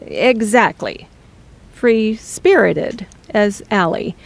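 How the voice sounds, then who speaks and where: A woman's voice: medium pitch, animated, gravelly, exaggerated voice. An actress playing a middle-aged mother who firmly presses her daughter about her future plans. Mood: earnest. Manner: firm, measured, blunt. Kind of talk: audio drama acting.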